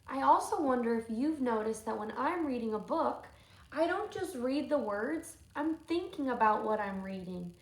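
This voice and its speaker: A woman's voice: a little high, expressive, moderate pace. A woman teacher reading a storybook aloud to children, with thoughtful questions and asides. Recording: echoey room with faint noise.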